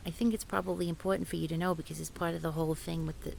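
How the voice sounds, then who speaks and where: Woman as an anxious young student for an audio drama, flustered and rambling, lowish with some fry. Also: flat delivery, very fast, darkish tone, slight background noise. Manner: flustered, rambling, self-correcting. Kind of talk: scripted audio roleplay.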